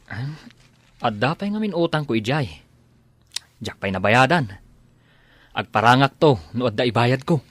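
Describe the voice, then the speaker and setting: A man's voice: medium pitch, lively intonation, moderate pace, long pauses, fairly bright tone, dry recording. A man playing a smitten, bashful village drinker in an audio drama. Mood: scheming. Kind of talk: Philippine radio-style audio drama acting.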